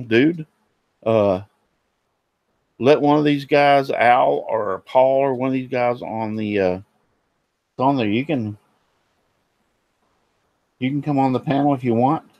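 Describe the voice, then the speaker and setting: Hoarse, nasal man hosting a live show. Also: on the low side, dry recording. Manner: rambling, many fillers and restarts. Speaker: host of a woodworking live show. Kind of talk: casual live-stream chat.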